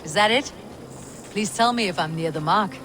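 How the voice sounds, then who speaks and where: An actress playing a proud, blunt Viking warrior leader in a video game, dry, wry and commanding. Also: female voice, medium pitch, moderate pace, background noise, natural voice. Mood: wry, sceptical. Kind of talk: dramatic video game acting.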